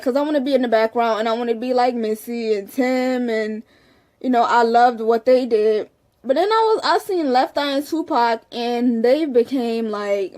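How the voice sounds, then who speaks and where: A woman's voice: on the high side, darkish tone. A young woman in casual, candid talk to the camera, rambling with frequent "you know"s. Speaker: vlogger.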